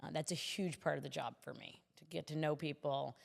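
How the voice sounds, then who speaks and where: A woman's voice: deep voice, fairly even intonation, fairly quick, creaky, long reverb tail. A woman speaking as a city commissioner in a conversational interview, explaining things earnestly.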